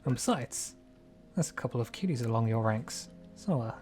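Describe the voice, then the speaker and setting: A man acts a laid-back, flirtatious adventurer in a game roleplay. His voice is medium in pitch and casual, with faint music behind. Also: lively intonation, moderate pace, a little mellow, close to an everyday voice.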